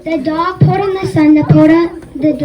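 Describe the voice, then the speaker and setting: A child reading a story aloud as narrator in a school readers' theater, halting over the lines. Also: very high-pitched, steady tone. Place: school event, mic at a distance. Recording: muffled, distant microphone.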